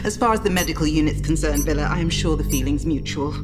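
An actress playing a protective, no-nonsense woman on a starship crew in a science fiction audio drama, brisk and urgent. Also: low-pitched, over loud music, close to an everyday voice. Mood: brisk, wry.

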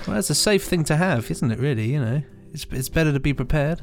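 A man's voice: fairly high pitch, animated, fast, soft background music. A man of about thirty co-hosting a podcast, teasing his partner and reading listener posts aloud in a playful, casual way. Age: 30s. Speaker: podcast co-host. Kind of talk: casual studio chat.

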